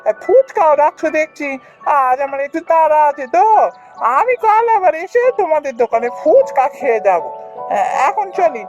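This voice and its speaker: A woman's voice: sits high, sing-song, moderate pace, darkish tone. A woman voicing a chatty, inquisitive male neighbour in a Bengali cartoon, with emphatic delivery. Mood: delighted. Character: nosy, chatty male neighbour, an eager hungry customer. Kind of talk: Bengali cartoon story dialogue. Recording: dull cartoon audio with music.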